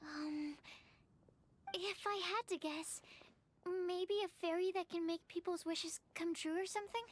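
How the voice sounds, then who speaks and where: A woman's voice: very high voice, fairly even intonation, measured pace. A woman voicing a timid, bookish, cautious young female researcher in a video game, tentative and precise in her speech. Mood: unsure. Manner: tentative, precise, self-effacing.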